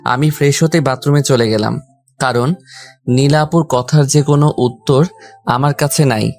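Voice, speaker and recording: A man's voice: medium pitch, steady tone, moderate pace, a little mellow, a little hiss or hum. A man reading a scripted first-person story as its narrator and lead character. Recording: background music under the voice. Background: music.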